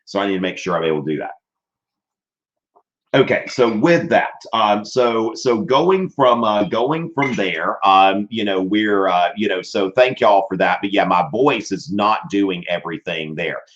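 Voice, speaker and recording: A man's voice: on the low side, measured pace, highs cut off. A man in his thirties teaching a livestreamed history class from a small room, his voice strained, rambling with fillers and checking in with "okay?". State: voice strained from streaming. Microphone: webcam at desk.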